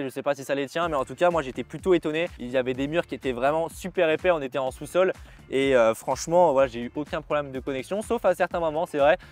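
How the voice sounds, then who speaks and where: A man giving a casual gear review to viewers in an informal, slangy, chatty way. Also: fairly high pitch. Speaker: host of a video gear review vlog.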